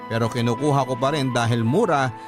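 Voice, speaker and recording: A man's voice: mid-range voice, fairly quick, music in the background, natural voice. An actor playing a poor man recounting his hardship as first-person radio drama narration. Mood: downhearted.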